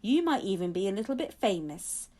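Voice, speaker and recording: A woman voicing a kindly, reassuring female paramedic in a storybook, comforting and gently brisk. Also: medium pitch, very animated, natural voice.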